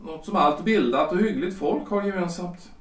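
A man's voice: high-pitched, far from the mic, natural voice. An actor playing a chatty, self-important middle-aged lawyer husband in a period audio drama, hesitant and stammering as he rambles. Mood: musing, pompous. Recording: echoey room, off the mic.

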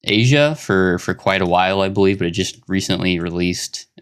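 A man, hosting a casual gaming podcast in an earnest, steady way with the odd filler pause. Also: low voice, clean tone. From Arkansas, United States. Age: 20s. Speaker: podcast host.

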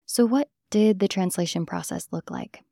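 A woman's voice: mid-range voice, moderate pace, vocal fry, dark tone, no room sound, clean studio sound. A woman hosting and narrating a podcast from a script, introducing her interview guest. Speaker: host, interviewer.

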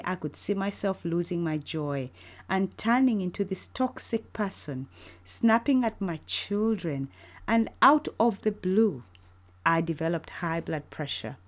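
Middle-aged woman from uganda, lowish voice, earnest encouraging podcast talk. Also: a little mellow. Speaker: podcast host. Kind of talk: faith-based podcast monologue.

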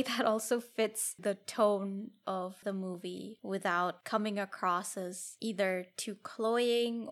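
A woman co-hosting a film podcast, discussing movies casually in an articulate, analytical manner. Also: mid-range voice. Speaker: podcast co-host reviewing films.

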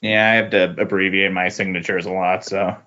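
A man speaking as a podcast guest in a casual comic book discussion, halting and often repeating words. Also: deep voice, flat delivery, sharp tone. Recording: dull lo-fi voice recording.

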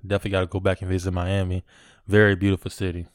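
Male podcast host, low-ish smooth voice, laid-back and filler-heavy, dry close mic. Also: very fast, dry recording. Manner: relaxed, filler-heavy, friendly shout-outs. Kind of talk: casual podcast interview. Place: Virginia.

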